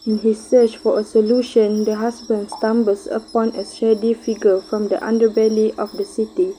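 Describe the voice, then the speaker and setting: A woman's voice: medium pitch, level tone, moderate pace, dark tone, natural voice. A woman playing the narrator of an amateur radio drama, reading scripted storytelling solemnly. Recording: noisy home-made recording.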